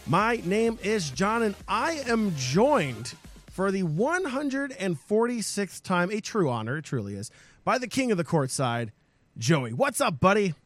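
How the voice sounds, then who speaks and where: A man hosting a gaming podcast in an upbeat, hype-building way, his voice hoarse and strained. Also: high voice, measured pace, soft background music. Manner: energetic, hypes up the audience. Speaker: podcast host.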